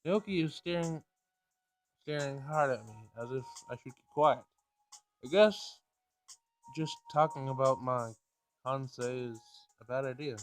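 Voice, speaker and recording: A man's voice: medium pitch, a little slow, long pauses. Man reading a game aloud over music. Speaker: gameplay host. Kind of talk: reading a visual novel aloud with casual commentary. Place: home screen recording. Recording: music behind the voice.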